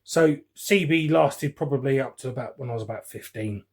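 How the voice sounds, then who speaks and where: A man in his forties with a London accent and a slightly husky, rough-edged voice at an ordinary male pitch. He talks informally and rambles a little, like a hobbyist reminiscing on his own vlog. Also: brisk. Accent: London English. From West London, England. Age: 40s. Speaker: radio hobbyist vlogger. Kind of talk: casual reminiscing chat while unboxing.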